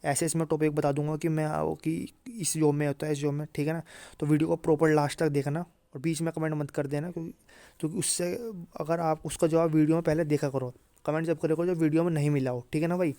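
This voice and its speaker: A man's voice: on the high side, flat delivery, fast, mellow, no echo. A man presenting an informal career explainer for students, often repeating points for emphasis and tagging them with short check-in phrases. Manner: repetitive check-in tags, emphatic repetition. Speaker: exam-career video presenter.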